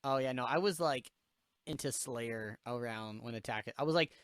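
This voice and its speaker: Podcast host, man in his late twenties, smooth clear voice, dry close sound, loose and profane storyteller. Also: medium pitch, normal speed, fairly bright tone, no echo. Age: late 20s. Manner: loose storytelling, swears freely, laughs at own jokes. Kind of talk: casual chat.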